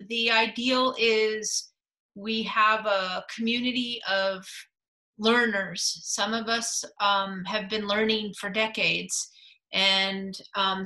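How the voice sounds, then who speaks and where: A woman dean of faculty answering a question at an online open house, reflective and unhurried. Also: mid-range voice, sharp tone, low-quality recording.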